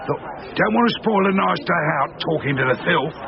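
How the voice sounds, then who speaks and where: An actor playing a cocky, needling career villain in a radio crime drama, mock-friendly and taunting. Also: male voice, high voice, expressive, a little fast. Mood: cocky, teasing. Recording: dull radio sound with crowd behind.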